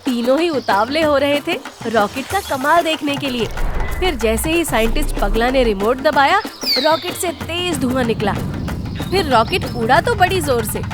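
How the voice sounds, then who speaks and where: A woman's voice: high voice, expressive, normal speed, over music. A woman reading scripted storytelling narration for a cartoon tale.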